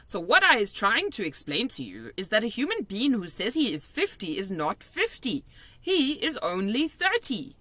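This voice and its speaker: A woman voicing a kindly, excitable old male giant from a children's storybook, earnest and emphatic, with muddled grammar and nonsense words. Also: medium pitch, moderate pace, no echo, pitched above the performer's own voice, heavily acted.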